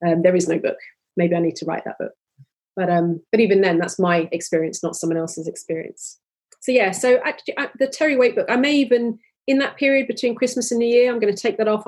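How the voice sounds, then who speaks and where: Husky woman, 40s, candid podcast guest, earnest and animated. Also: mid-range voice. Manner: earnest, animated, many restarts. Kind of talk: candid conversational interview.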